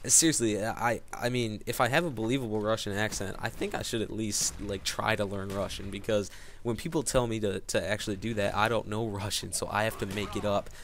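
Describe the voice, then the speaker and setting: A young man speaks in a somewhat low voice, chatting straight to his audience like a casual vlogger. He rambles, restarts sentences and fills gaps with "you know" and "uh". A faint background noise sits under his voice. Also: fairly even intonation, a little fast, a bit bright. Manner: rambling, with frequent fillers and restarts. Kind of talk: casual storytelling to camera.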